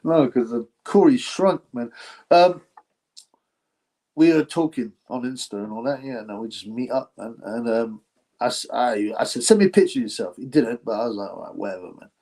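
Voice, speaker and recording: A man's voice: mid-range voice, animated, moderate pace, mellow, poor audio. A man hosting a casual live stream, rambling, reading chat and laughing often between filler words. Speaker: boxing channel host reading chat.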